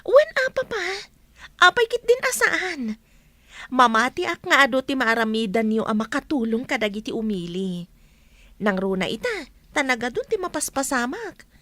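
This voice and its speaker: A woman's voice: sits high, fairly bright tone, dry recording. A woman playing an affectionate, eager young daughter in a radio drama. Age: young adult. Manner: affectionate, earnest. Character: devoted, eager young daughter back from working abroad.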